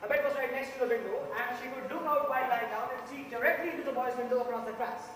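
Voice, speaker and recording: A man playing a methodical juror in an amateur stage play, laying out his argument deliberately. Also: extremely high pitch, a bit bright. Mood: firm, methodical. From India. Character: methodical male juror arguing firmly for guilt. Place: theatre stage, recorded from the audience. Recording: noisy echoey hall, far from mic.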